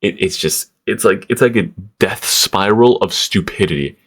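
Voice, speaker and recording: A man's voice: fairly low pitch, moderate pace, fairly bright tone. A young man from Switzerland ranting casually to camera, rambling with stammered restarts, lots of fillers and swearing. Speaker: vlogger.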